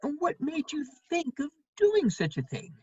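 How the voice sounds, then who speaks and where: A woman playing a worldly, witty gentleman counselling a friend in a dramatic play reading, with wry, measured phrasing. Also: sits high, huge pitch swings, no room sound, dull audio, exaggerated voice. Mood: disapproving, probing.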